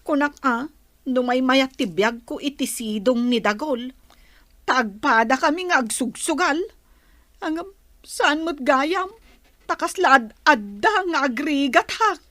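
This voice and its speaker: High-pitched aggrieved young Ilocano wife played by a woman. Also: expressive, slow pace, bright, forceful tone, no room sound, full character voice. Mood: dejected. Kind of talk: Ilocano radio drama acting.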